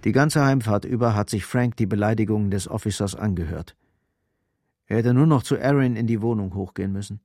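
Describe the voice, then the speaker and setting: A man narrating a radio drama in a grave, measured, scripted style. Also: lowish voice, a little mellow, no echo, clean studio sound.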